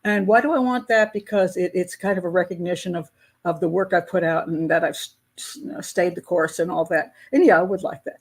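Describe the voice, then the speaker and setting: A woman's voice: lowish voice, fairly even intonation, brisk. Older woman chatting candidly. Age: 60s. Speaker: host of a crime-profiling channel. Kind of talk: casual live chat with patrons.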